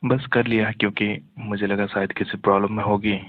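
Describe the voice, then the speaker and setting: Guy on the phone, lowish voice, really flat delivery, casual private chat, tinny sound. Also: a little fast, no echo. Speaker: call recipient in a phone conversation. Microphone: phone call. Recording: dry, narrow tinny phone line.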